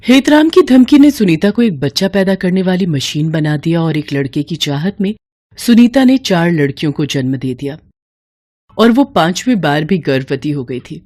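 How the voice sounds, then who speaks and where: A woman's voice: fairly low pitch, expressive, normal speed, a little mellow. A woman storyteller delivering scripted, dramatic narration of a moral tale.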